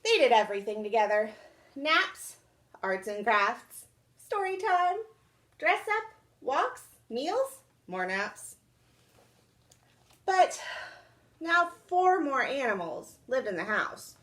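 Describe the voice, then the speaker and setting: Young woman doing storytime for kids, really swoopy pitch, highish voice, dramatic. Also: slow pace, pauses often. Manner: animated, dramatic. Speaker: children's storytime reader. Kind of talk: expressive picture-book read-aloud. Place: small indoor room.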